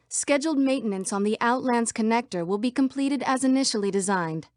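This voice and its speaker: High-pitched female computer program. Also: dry recording, studio quality. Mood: formal, announcing. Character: female computer program citizen, wary, earnest, anxious. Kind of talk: video game voice acting.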